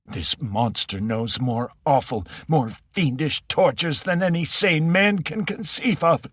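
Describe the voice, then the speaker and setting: A man's voice: big pitch swings, extremely slow, a bit bright, slightly rough, dry recording, clean studio sound, heavily acted. A man voicing a frail, frightened elderly male scientist with a faint, quavering voice. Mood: hysterical pleading. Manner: high, pleading, near-hysterical.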